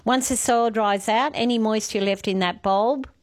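A woman's voice: fairly high pitch, moderate pace. An Australian woman giving gardening advice on talkback radio, chatty and enthusiastic.

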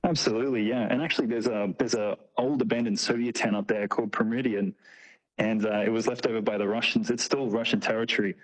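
A man's voice: fairly low pitch, fairly even intonation, quick pace, low-quality recording. A man speaking as an interview guest, explaining and telling anecdotes in an informal, slangy way and acting out short quotes. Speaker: interview guest, tour operator. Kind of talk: casual conversational anecdotes and explanation.

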